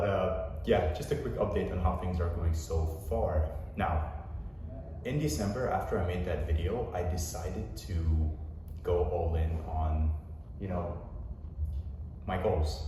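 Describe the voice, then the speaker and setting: A young man, a personal trainer, giving a relaxed, rambling vlog update with plenty of fillers. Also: sits low, measured pace, noisy background. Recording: echoey room from far off.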